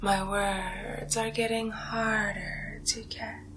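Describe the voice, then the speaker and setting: A woman playing a seductive, ancient vampire villain in a whispered ASMR roleplay, breathy and drawn-out, savoring and condescending. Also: medium pitch, flat delivery, noisy background, close to an everyday voice. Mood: hypnotic, predatory. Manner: slow, hushed, drawn-out, savoring delivery.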